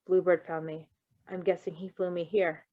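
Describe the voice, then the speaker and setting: A woman's voice: on the low side, level tone, moderate pace, close to an everyday voice. A woman voicing a wary, wounded young man with a hesitant, terse manner in a story reading. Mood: wary, subdued. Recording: dry, slightly muffled recording.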